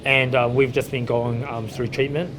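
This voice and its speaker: A man's voice: medium pitch, little pitch movement, moderate pace, noisy background. A man in his thirties with a New Zealand accent, telling his story informally in an interview as a chef, often saying "you know". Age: 30s. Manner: conversational, frequent "you know". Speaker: chef and restaurant owner being interviewed.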